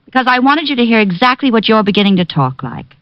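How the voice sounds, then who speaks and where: A woman's voice: fairly high pitch, big pitch swings, fast talker, highs cut off. An actress playing a playful, affectionate young woman who teases her boyfriend, in an old radio drama recording. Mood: teasing. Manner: teasing, affectionate. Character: teasing playful young woman, detective's girlfriend. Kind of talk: 1950s radio acting.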